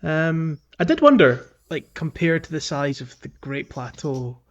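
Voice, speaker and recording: A man co-hosting a video game podcast in casual chat, talking in a wordy, halting way and repeating words. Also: a little high, expressive, muffled recording. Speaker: podcast co-host.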